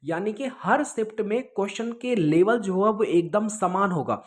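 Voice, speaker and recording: A man's voice: sits high, lively intonation, moderate pace. Male online exam coach, informal and explanatory, checks in often, code-mixes English. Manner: explanatory, repeats himself, with check-in tags; mixes English words into speech. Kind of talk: informal online lesson, answering student questions.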